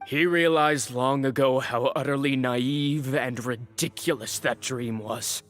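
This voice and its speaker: An actor playing a bitter, jaded villain in a video game, mocking and theatrical in manner. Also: male voice, medium pitch, fairly even intonation, a little slow, fairly bright tone, faint music behind, close to an everyday voice. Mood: bitter scorn. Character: bitter, jaded, sneering male fashion industry villain.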